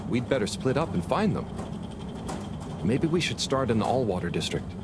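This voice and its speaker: Actor as good-natured male crew member for a game: mid voice, lively inflection, noisy hum underneath. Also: mid-range voice, normal speed, lightly acted. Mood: concerned. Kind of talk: scripted video game dialogue.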